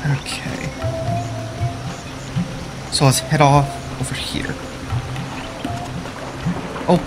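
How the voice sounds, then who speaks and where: A man's voice: a little high, fairly even intonation, very slow. Nasal man streaming a game. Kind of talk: casual let's play commentary. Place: small room indoors. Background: game music and sounds.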